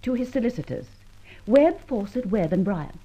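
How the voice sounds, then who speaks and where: An actress playing a poised, cheeky society woman in an old-time radio drama, husky, airy and mock-innocent. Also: on the high side, lively intonation, normal speed, warm tone. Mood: mock-innocent, chatty. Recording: dull, lo-fi old radio recording.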